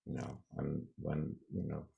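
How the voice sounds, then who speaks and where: Older guy in his 70s, super low gravelly voice, emphatic, keeps restarting. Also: monotone, mellow. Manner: emphatic, restarts often, slows for key lines. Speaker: guest. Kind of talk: interview talk.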